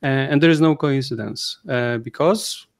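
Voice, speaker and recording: Male co-host, mid pitch, clear resonant voice, hesitant questioner. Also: moderate pace. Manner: hesitant, repeating words. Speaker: podcast co-host. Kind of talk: asking interview questions.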